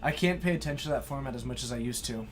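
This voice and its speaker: An excitable man hosting a live stream, giving play-by-play card game commentary and effusively thanking his viewers. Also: medium pitch, rapid-fire, faint music behind. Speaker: stream host and match commentator.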